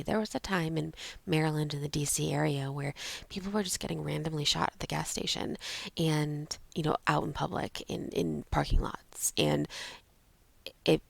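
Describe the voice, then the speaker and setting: A woman's voice: low-pitched, creaky, husky, dry recording. A woman co-hosting a podcast in casual chat, sounding a little sniffly. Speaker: podcast co-host.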